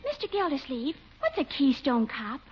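An actress playing a naive, dim-witted, literal-minded secretary in a 1940s radio comedy, speaking in a guileless manner. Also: female voice, high-pitched, huge pitch swings, normal speed. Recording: muffled, hissy 1940s radio recording.

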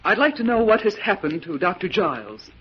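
A woman plays an earnest young heroine in a 1940s radio thriller. She is urgent and melodramatic, with very lively pitch, pleading yet defiant. The vintage recording is muffled with faint noise. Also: a little fast, lightly acted. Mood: anxious, pleading.

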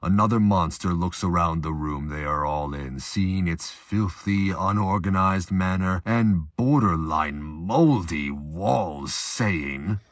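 A man with a husky voice reading scripted narration for an audio drama. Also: sits low, slow pace, few pauses, dry recording, studio quality.

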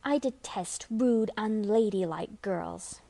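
A woman's voice: medium pitch, slow pace, gravelly, warm tone, voice pushed up. A woman voicing a vain, affected young girl in an audiobook story, petulant and full of prissy dignity. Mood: disapproving. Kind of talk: audiobook character acting.

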